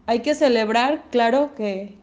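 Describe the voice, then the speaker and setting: A woman's voice: a little high, a little slow, darkish tone. A woman with a Mexican accent giving her opinion as a guest, in a chatty way with restarts. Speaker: guest speaker. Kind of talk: casual opinion piece.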